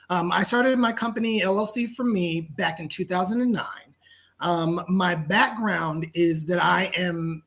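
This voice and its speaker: A man from the United States presenting as a guest over a video call, friendly and casual with frequent ums. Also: high voice. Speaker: guest business founder. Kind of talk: webinar presentation.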